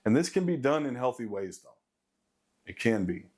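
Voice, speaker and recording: A man in his late thirties with a deep voice talks straight to his audience, venting in a blunt, swear-heavy way. He hammers home his points by repeating them, his pitch sitting in the middle range for a man. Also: big pitch swings, moderate pace, darkish tone, slightly rough. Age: late 30s. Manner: blunt, profane, emphatic repetition. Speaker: vlogger. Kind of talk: casual motivational rant to camera.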